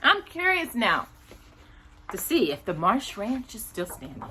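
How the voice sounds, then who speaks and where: A woman's voice: medium pitch, sharp tone, breathy edge. A woman hosting a storytime, reading a picture book aloud and adding curious, chatty asides. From Texas, United States. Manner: conversational, curious, musing aloud. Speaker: host of a storytime read-aloud show.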